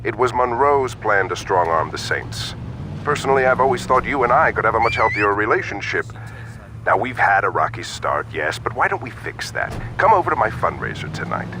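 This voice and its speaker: A man's voice: fairly low pitch, sing-song, brisk, background noise. An actor playing an ingratiating male politician making a friendly offer by phone in a video game. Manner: smooth, ingratiating. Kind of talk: video game cutscene acting.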